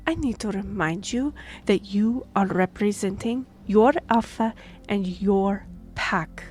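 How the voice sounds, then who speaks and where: A woman's voice: medium pitch, lightly acted. A woman playing a formal, courteous and firm half-elf envoy in a fantasy audio drama. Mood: stern. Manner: formal, measured, diplomatic. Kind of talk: scripted fantasy audio roleplay.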